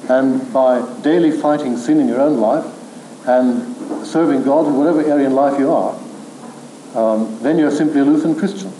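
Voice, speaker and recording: A man lectures in a mellow, dark voice, speaking in a measured, firm way. The sound is echoey with a faint hiss behind him, as in a hall recording. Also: mid-range voice. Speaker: theology lecturer. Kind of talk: lecture with questions from the floor.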